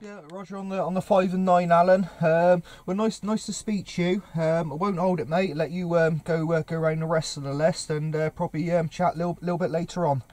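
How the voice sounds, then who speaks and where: Halting, higher-pitched man on CB radio. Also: steady tone, darkish tone, a little hiss or hum. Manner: hesitant, halting, polite. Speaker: CB radio operator. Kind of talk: casual radio net chat.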